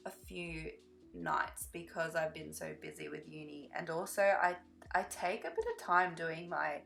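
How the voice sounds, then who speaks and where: A young woman gives a casual, chatty commentary over a video game build. Her voice sits on the low side with plenty of creak and sounds slightly croaky, as if short on sleep. She rambles and interrupts herself, with music playing softly behind her. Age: young adult. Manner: rambling, self-interrupting, easily sidetracked. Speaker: gaming video creator.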